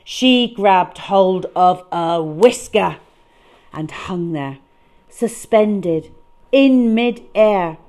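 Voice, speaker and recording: A woman tells a children's story at an unhurried pace. Her pitch moves around a lot as she reads, and she leans on key words for drama. The delivery is deliberate and expressive. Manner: dramatic, deliberate, with emphatic stresses. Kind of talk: expressive picture-book read-aloud.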